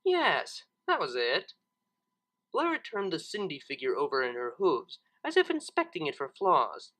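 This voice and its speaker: Lively, bright-voiced man reading a story. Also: fairly high pitch, a little slow. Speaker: narrator reading fan fiction, channel host. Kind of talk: expressive storybook narration.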